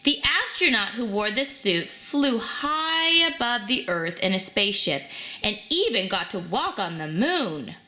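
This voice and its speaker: A woman reading a children's storybook aloud in an animated, expressive storytelling manner. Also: medium pitch, measured pace. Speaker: storybook reader.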